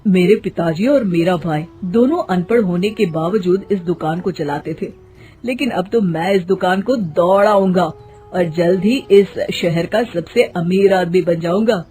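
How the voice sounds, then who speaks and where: A man's voice: sits high, unhurried, mellow. Man acting naive young shopkeeper, cartoon dialogue, boastful, showy, faint music behind.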